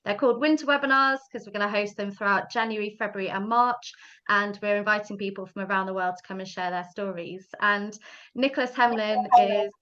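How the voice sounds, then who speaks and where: A woman's voice: mid-range voice, fairly bright tone. Woman from the UK hosting a webinar; chatty and friendly, slightly dull video-call sound. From United Kingdom. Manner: chatty, friendly, laughs easily. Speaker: webinar host.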